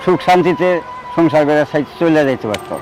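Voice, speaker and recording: A man's voice: fairly high pitch, a little fast, close to an everyday voice, rough recording. A man acting in a comic short film as a humble, sorrowful beggar father, wheedling in a Bangladeshi accent. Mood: sorrowful pleading. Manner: wheedling, pleading.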